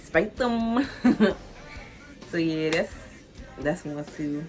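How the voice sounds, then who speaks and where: Chatty young D.C. woman, low-pitched voice. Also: expressive, very slow, a little mellow, soft background music. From Washington, D.C. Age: 20s. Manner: loose and chatty, heavy "like" fillers, easy laughter. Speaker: vlogger. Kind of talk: casual solo vlog explainer.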